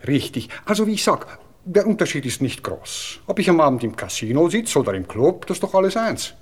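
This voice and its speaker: An actor playing an affected, melancholic aristocratic cavalry officer in a radio play, with a Viennese accent and a polite, hesitant, rambling way of talking. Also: male voice, on the high side, big pitch swings, brisk, slightly rough. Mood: blasé, philosophizing. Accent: Viennese Austrian.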